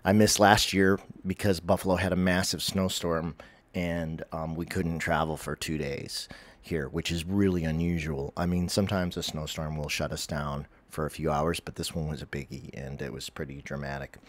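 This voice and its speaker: A man's voice: a bass voice, fairly even intonation, normal speed, dry recording. A middle-aged man, talking casually to camera at home in a rambling way full of um's, with a stuffy, nasal, sniffly voice. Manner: rambling, self-correcting, with many um's. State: stuffy from a head cold. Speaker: spiritual vlogger. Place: living room at home.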